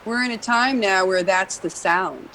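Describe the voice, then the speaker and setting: A woman's voice: mid-range voice, a little slow, over a noisy crowd. A woman, a jazz singer and vocal coach, talks through singing technique in casual chat, explaining at length and leaning on "you know".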